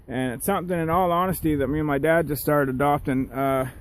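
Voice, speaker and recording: A middle-aged man speaks in a fairly high male register. He rambles in a folksy, casual vlog style, addressing his viewers as he goes. The recording is made outdoors, with noticeable ambient noise throughout. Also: fairly even intonation, brisk. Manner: folksy, conversational, keeps addressing viewers as guys. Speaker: outdoors vlogger. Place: outdoors in rural woodland.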